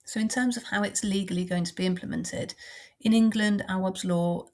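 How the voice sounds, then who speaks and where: A woman with a heavy cold and a croaky voice, explaining housing law in a measured, practical way as a surveyor. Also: mid-range voice, steady tone, darkish tone. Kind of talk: informal explainer talk.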